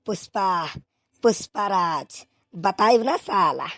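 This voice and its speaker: A woman's voice: mid-range voice, lively intonation, a bit bright, no room sound. Woman doing a cocky stubborn cartoon rebel guy, draggy defiant catchphrases, dry sound. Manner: defiant, drawn-out catchphrase delivery. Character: stubborn, swaggering male rebel parodying a film hero. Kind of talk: comic cartoon film parody.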